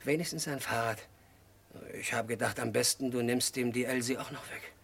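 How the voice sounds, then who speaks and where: An unhinged, childlike murderer, huskily confessing. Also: male voice, mid-range voice, steady tone, gravelly, slightly rough, faint music behind. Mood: brooding, secretive. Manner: halting, disjointed short sentences, swinging from hushed to agitated outbursts. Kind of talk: mid-century German radio crime drama acting.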